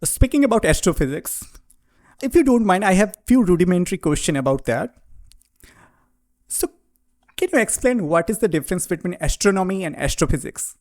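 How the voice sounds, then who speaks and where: Polite, formal podcast host, dry sound. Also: male voice, high voice, expressive, brisk, pauses often, a little mellow, no room sound. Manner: formal, polite, addresses guest as sir. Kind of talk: scripted intro then interview questions.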